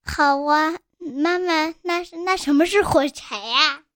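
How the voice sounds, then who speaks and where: A small, stubborn child in a comic bedtime sketch. The voice is very high and bright, with slow, unhurried speech and a whiny, innocent-sounding cheek. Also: female voice. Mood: cheeky.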